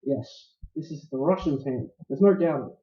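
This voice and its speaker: An actor in a noir radio play, playing a suave, courtly older criminal mastermind who speaks with florid, deliberate politeness. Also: male voice, mid-range voice, expressive, close to an everyday voice. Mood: eager, grandiose. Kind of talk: staged radio play acting, 1940s noir. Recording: roomy, dull live stage recording.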